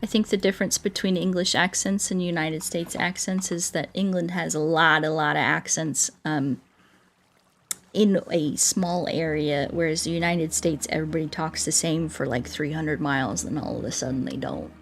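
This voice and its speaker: A woman's voice: low-pitched, fairly even intonation, moderate pace, soft background music. A woman livestreaming a game, chatting casually in a goofy, playful, rambling way. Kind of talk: casual livestream chat while playing.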